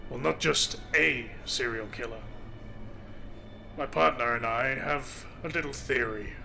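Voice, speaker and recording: A man's voice: mid-range voice, a little slow, vocal fry, sharp tone, faint music behind. A man playing a courteous but probing marshal in an audio drama, speaking formally. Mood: grave.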